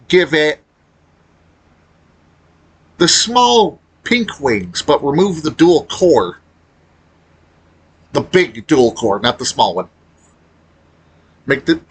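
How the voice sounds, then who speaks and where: A man with a rough, husky voice talks through his gaming commentary off the cuff. His pitch moves up and down a lot while the pace stays slow. He rambles and halts, reworking his thoughts as he speaks. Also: fairly bright tone. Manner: rambling, halting delivery with trailing thoughts. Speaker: video creator commenting on a game. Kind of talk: unscripted casual gaming commentary.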